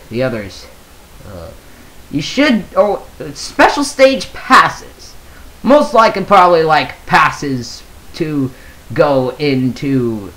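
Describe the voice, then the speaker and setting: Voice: medium pitch, a bit bright, poor audio. A video game YouTuber reading out news and reacting casually, rambling and hesitant at times, then emphatic.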